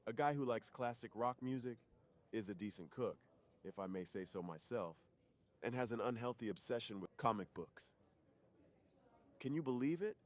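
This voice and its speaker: A man acts as a secretive superhero off duty, with a mid-pitched voice. He is polite and earnest, confiding shyly and intimately in an ASMR roleplay. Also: expressive, moderate pace, long pauses, close to an everyday voice. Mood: apologetic, friendly.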